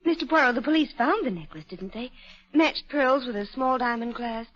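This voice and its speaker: High-voiced, determined young daughter. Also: female voice, expressive, normal speed, a bit bright. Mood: urgent, hopeful. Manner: earnest, insistent, pleading. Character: distressed, determined young woman defending her accused father. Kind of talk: old-time radio drama acting. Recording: dull old radio drama recording.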